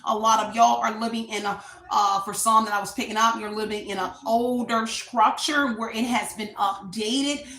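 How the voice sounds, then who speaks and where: A woman giving a casual tarot reading, talking loudly and chattily to her viewers. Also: fairly high pitch. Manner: chatty, loud, addresses viewers as y'all. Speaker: tarot reader and channel host. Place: echoey room at home. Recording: echoey room.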